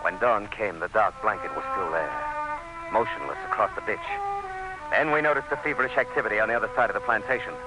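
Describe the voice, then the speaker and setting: A man's voice: on the low side, animated, fast talker, over music, lightly acted, rough recording. Man playing earnest commissioner in dramatic radio play. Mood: tense narration. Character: earnest, anxious commissioner recounting a desperate siege. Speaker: narrator and character. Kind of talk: old-time radio drama narration and acting.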